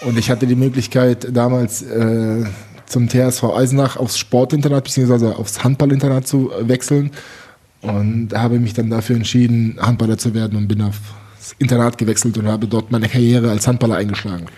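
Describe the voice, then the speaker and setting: A man's voice: mid-range voice, level tone, a little fast, mellow. A young man born in the former Soviet Union, a handball player, telling stories in a casual talk-show chat, chatty and laughing at himself often.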